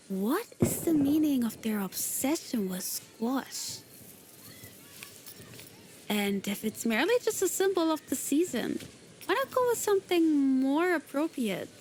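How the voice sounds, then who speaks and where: Woman doing a snooty non-human lady, high voice, slow bored drawl. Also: noisy background, heavily acted. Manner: slow, languid, disdainful drawl. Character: aloof haughty non-human woman, dryly condescending. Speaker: virtual streamer voicing her persona. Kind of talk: scripted roleplay addressed to the listener.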